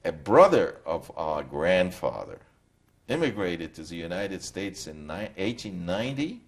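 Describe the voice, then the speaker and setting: An older man from Poland, giving a reflective, halting recorded testimony in an interview. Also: sits low.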